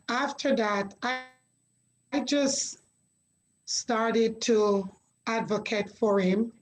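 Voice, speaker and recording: A middle-aged woman from Jamaica, a guest sharing her story in a measured, reflective way with pauses. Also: on the high side, darkish tone, low-quality recording. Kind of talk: conversational interview.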